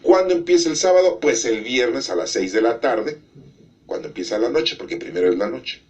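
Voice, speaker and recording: A man's voice: mid-range voice, lively intonation, normal speed, a little mellow, muffled recording. A middle-aged man explaining religious teaching emphatically, asking rhetorical questions and checking in with his listeners. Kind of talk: catechism explanation.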